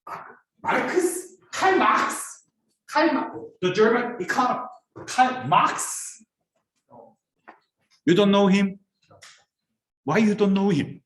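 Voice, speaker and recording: A man's voice: high-pitched, sing-song, slow pace, pauses often, fairly bright tone. A man with a Korean accent, lecturing as a business professor and pressing students with rhetorical questions. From South Korea. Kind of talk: classroom lecture. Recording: echoey classroom from far off.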